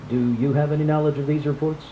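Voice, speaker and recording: A man's voice: fairly high pitch, steady tone, quick pace, lo-fi sound, natural voice. A man playing a flustered, formal television news anchor covering a crisis in a radio drama. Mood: composed, urgent. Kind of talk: live newscast radio drama acting. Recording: echoey room playback, faint noise.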